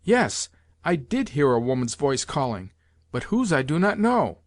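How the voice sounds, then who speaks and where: A man voicing a reserved, dogged gentleman in an audiobook novel, speaking in a measured, matter-of-fact way. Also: fairly high pitch, very animated, no echo. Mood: observant.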